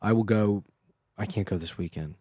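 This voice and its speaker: A man's voice: fairly low pitch, moderate pace, mellow, breathy edge, no echo, clean studio sound. A man in his twenties co-hosting a podcast, rambling and swearing freely in casual chat. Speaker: podcast co-host.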